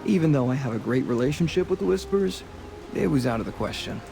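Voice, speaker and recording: A man's voice: medium pitch, lively intonation, quick pace, vocal fry, mellow, faint music behind. A man voicing a roguish, charming, chatty sea adventurer in a fantasy game, with a breezy, self-assured way of talking. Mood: confident, musing. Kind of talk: voiced dialogue in a fantasy game.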